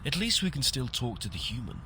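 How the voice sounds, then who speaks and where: A man voicing a polite, formal talking apple in a video game, a gentlemanly aide who speaks of himself in the third person. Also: medium pitch, huge pitch swings, fairly quick, slight background noise, full character voice. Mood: formal, measured.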